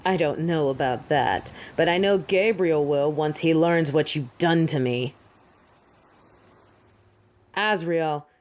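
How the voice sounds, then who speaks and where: A woman's voice: deep voice, darkish tone. A woman playing a proud fallen angel in an audio drama, with haughty, theatrical and formal delivery. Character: proud, defiant fallen female angel of judgement. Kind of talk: scripted audio drama acting.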